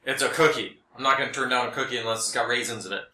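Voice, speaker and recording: A man's voice: medium pitch, fairly even intonation, very fast, bright, forceful tone. A man co-hosting a podcast, chatting casually in a joking, wry way. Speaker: podcast host. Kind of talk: casual chat. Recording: roomy echo.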